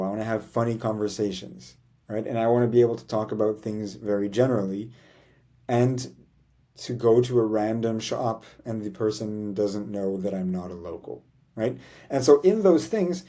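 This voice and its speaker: Guy vlogging to camera, earnest and reflective, warm mellow voice, keeps saying right?. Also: on the low side. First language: English. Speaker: language coach vlogger. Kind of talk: casual talking-head vlog.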